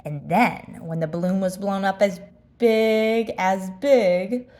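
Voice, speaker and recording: A woman narrating a children's story aloud, expressive and deliberate in her phrasing. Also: medium pitch.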